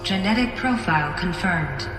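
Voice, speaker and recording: A woman's voice: fairly low pitch, lively intonation, normal speed, lightly acted. A woman voicing an impassive computer in a video game, giving formal, procedural system announcements. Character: impassive female computer of an ancient facility. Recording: echoing long reverb, music behind.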